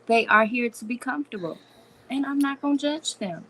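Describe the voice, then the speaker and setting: Easygoing woman co-host, bright voice. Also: on the high side, expressive, a little fast, a little hiss or hum, low-quality recording. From Minneapolis, Minnesota. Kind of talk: casual livestream chat. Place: home.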